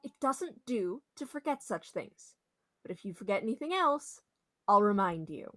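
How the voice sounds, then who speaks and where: Woman acting pushy know-it-all female hotel attendant, radio comedy, very animated, sharp bright tone, brash and officious. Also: medium pitch, moderate pace. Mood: bossy, scolding. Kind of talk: old-time radio comedy-mystery acting.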